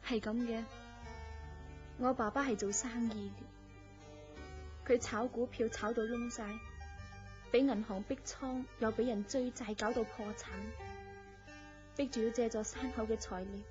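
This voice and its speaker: High-voiced coquettish young mistress played by an actress. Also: female voice, fairly even intonation, unhurried, pauses often, a little mellow, natural voice. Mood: wistful, bitter. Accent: Hong Kong Cantonese. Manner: coquettish, pouting. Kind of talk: Cantonese radio drama acting. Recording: muffled lo-fi radio drama, music behind.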